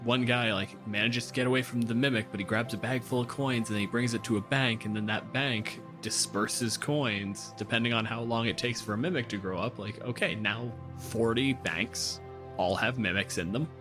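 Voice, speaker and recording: Mid-pitched male podcast host, faint music. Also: normal speed. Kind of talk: casual podcast discussion.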